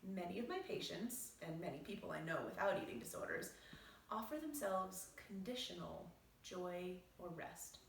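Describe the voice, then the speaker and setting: A woman physician reading aloud from her own book in a measured, explanatory way. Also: lowish voice, animated, vocal fry, recorded from a distance, a little hiss or hum. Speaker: physician and author. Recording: roomy echo, voice set back from the mic.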